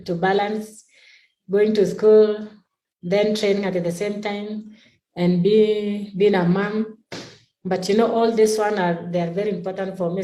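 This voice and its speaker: A woman's voice: mid-range voice, dark tone. A young woman from South Sudan answering interview questions in plain, simple phrasing. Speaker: athlete guest. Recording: echoey room.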